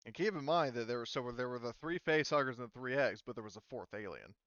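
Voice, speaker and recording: A man's voice: mid-range voice, fast talker, a bit bright, no echo, dull audio. A man acting as game master, explaining rules and backstory in a casual, rambling way with many fillers.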